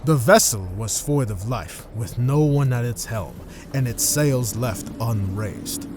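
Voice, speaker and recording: A man's voice: medium pitch, noisy background, lightly acted. Man playing a solemn, haunted sailor recounting tales. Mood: grave, uneasy. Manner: measured, solemn, formal phrasing. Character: haunted sailor, first mate, recounting a sea tale. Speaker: narrating character in an audio drama. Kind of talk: dramatic scripted first-person storytelling.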